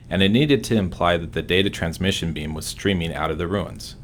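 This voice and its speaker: Very deep-voiced man over faint rumble. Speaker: game developer giving commentary. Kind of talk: scripted narration, developer commentary.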